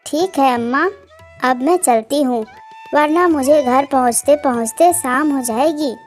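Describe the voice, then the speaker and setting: A humble, hard-up young village wife acted by a woman from a cartoon moral tale. Her voice is very high, and she speaks earnestly and politely over faint music. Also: unhurried. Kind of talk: cartoon moral story acting.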